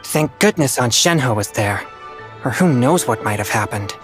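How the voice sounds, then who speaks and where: A man's voice: mid-range voice, lively intonation, normal speed, music in the background, natural voice. A man playing an earnest, level-headed teenage boy in a video game, sincere and restrained. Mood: relieved.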